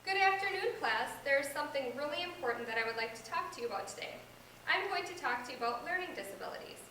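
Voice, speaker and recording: A woman's voice: high voice, lively intonation, quick pace, bright, forceful tone, faint music behind. A young American woman playing a patient, supportive reading teacher in amateur reader's theater, with an instructive scripted delivery. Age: young adult. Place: echoey indoor room. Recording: echoey room, distant microphone, background hiss.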